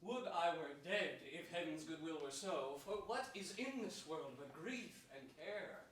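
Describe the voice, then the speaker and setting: A young man playing a gentle, melancholy captive king, declaiming earnest Shakespearean verse in a staged reading. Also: a little high, expressive, measured pace. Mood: mournful musing. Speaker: stage actor. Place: large indoor theater stage before an audience. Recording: echoey theater, distant microphone, some noise.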